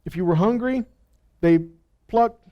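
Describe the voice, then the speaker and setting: A man's voice: high-pitched, measured pace, dull audio. A man teaching scripture in a sermon, emphatically asking rhetorical questions, repeating phrases and answering himself.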